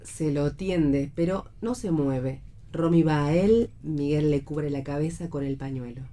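A woman's voice: deep voice, measured pace, dark tone. A woman reading stage directions as the narrator of a scripted radio play.

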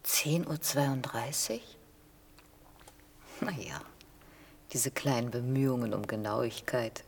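A woman playing a wry elderly mother in a radio play, gently correcting her storytelling son in a husky, breathy voice. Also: extremely low pitch, slow pace, exaggerated voice. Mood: doubtful, murmured.

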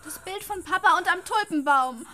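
An actress playing a quietly stubborn daughter in a radio play. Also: female voice, extremely high pitch, expressive, normal speed, background noise. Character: daughter clinging to her father's picture.

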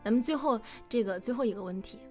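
Higher-pitched woman hosting a live interview. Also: quick pace, a little mellow, soft background music. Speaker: livestream host interviewing voice actors.

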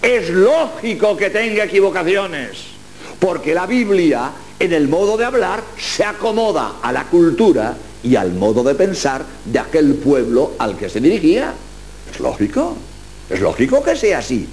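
A man's voice: a little high, very animated, a little slow, a little mellow, a little hiss or hum. A man giving a talk on the Bible, emphatic, asking rhetorical questions and repeating himself.

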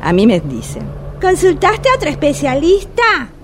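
An actress playing an exasperated, sarcastic blind street vendor in a radio drama, with a Rioplatense Argentine accent. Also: female voice, high voice, huge pitch swings, moderate pace. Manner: complaining, sarcastic, emphatic. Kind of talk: radio drama monologue as phone call. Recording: loud subway train noise throughout. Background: subway ambience.

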